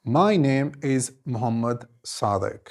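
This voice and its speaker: A man, teaching an English lesson and giving clear, deliberate model answers, each repeated in several forms. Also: mid-range voice, lively intonation. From Khyber Pakhtunkhwa, Pakistan. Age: 20s.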